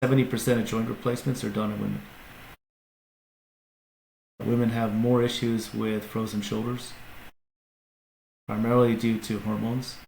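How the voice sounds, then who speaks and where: A man with a low, gravelly voice explains his company as a podcast guest, stopping and restarting his sentences. The room is echoey, with faint ambient noise behind him. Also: darkish tone. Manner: explanatory, many restarts and fillers. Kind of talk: casual interview talk.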